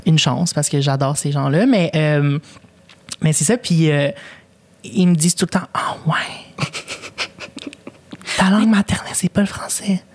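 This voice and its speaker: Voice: mid-range voice, a little slow, pauses often. A 24-year-old podcast co-host from Quebec with a Québécois accent, Spanish as first language, chatting casually and swearing in slang with English thrown in. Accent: Québécois French. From Quebec, Canada. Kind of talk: casual chat.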